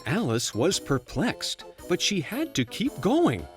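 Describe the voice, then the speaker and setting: A storyteller narrating a bedtime fairy tale in an expressive, playful storybook manner. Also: mid-range voice, slow pace, faint music behind.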